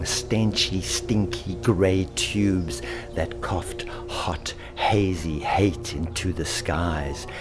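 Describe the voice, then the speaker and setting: A man narrating a children's story in an expressive, theatrical way, lingering on alliterative words. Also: low-pitched. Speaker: author and storyteller.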